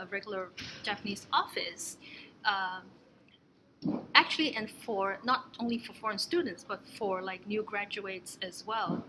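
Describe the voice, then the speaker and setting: A young woman from Canada talks through her answers in a relaxed, unhurried interview, circling back and restarting as she thinks. Her voice sits at an ordinary middle pitch. A steady hiss of room noise runs under the whole recording. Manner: thoughtful, many restarts and fillers, soft trailing asides. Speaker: interviewee, exchange alumna. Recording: noisy room ambience.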